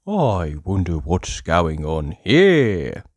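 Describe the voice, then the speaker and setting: A narrator voicing a nosy, curious male storybook busybody who muses aloud in a drawn-out, inquisitive way. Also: low-pitched, wildly swooping pitch, a little mellow, no echo, studio quality, pitched below the performer's own voice, full character voice.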